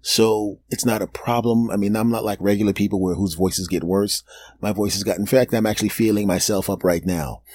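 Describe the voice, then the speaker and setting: A man in his fifties hosting a solo podcast, rambling and joking, laughing at his own jokes and dropping into low asides. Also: fairly even intonation, a little fast, a little mellow. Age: 50s. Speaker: podcast host. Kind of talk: solo comedic podcast monologue.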